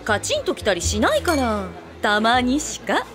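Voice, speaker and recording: Woman acting a lively, blunt, teasing tomboy. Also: fairly high pitch, moderate pace. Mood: reassuring. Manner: blunt, casual masculine phrasing, teasing. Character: blunt, teasing, tomboyish woman, fond big-sister type. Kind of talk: comic radio drama acting. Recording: music behind the voice.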